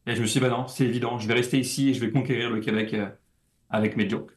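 A man's voice: medium pitch, fairly even intonation, rapid-fire. A young man from France, a comedian guest, speaking French with a slight Québécois lean, talking animatedly and often repeating words as he thinks. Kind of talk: casual interview chat.

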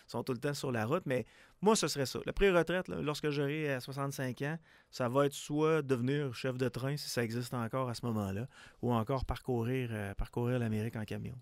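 A man's voice: mid-range voice, brisk, dry recording. A man in his forties with a Quebec French accent, co-hosting a radio show with casual, self-mocking banter. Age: 40s. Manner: joking, self-mocking, lively. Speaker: radio co-host.

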